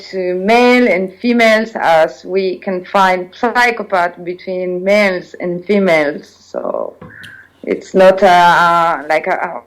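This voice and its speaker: A woman with an Italian accent, telling her own story as an interview guest, pausing often to search for English words. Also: lowish voice, low-quality recording. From Italy. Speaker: guest sharing personal experience. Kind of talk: earnest personal account in interview.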